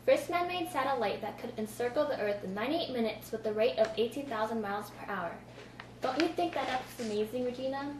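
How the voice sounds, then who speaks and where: Young female student anchor, slightly high pitch, reading news copy, distant echoey mic. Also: brisk. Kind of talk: scripted amateur newscast. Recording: echoey room, distant mic, clear noise.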